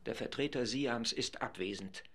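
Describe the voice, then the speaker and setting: A man's voice: medium pitch, normal speed, slightly rough. An actor playing a male radio reporter giving live commentary from a 1947 vote in a radio play. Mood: measured. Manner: steady commentary, swelling to excited announcing. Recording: crowd and noise behind. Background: assembly hall crowd murmur.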